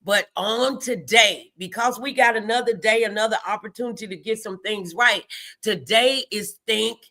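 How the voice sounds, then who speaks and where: A woman's voice: mid-range voice, moderate pace, pushed and bright. An American woman hosting a motivational morning live show, speaking in an emphatic, encouraging, faith-filled way and repeating phrases for effect.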